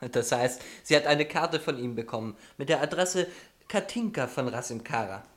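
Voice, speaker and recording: A man's voice: mid-range voice, animated, normal speed, lightly acted. Languid, ironic young man played by an actor. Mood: teasing, placating. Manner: ironic, leisurely. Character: languid, teasing young brother, ironic and easygoing. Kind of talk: German radio drama acting and letter narration.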